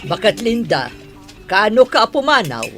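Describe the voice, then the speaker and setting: A woman's voice: medium pitch, sing-song, unhurried, soft background music. An actress playing a stern, bossy lady of the house in a radio drama, with an Ilocano accent. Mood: sharp, impatient.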